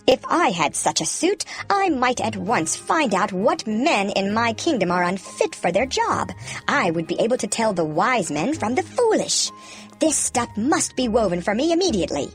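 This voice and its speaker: A woman's voice: on the high side, very animated, moderate pace. A woman voicing a vain, self-important emperor in a children's storybook, speaking in pompous declarations. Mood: pleased, scheming. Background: background music.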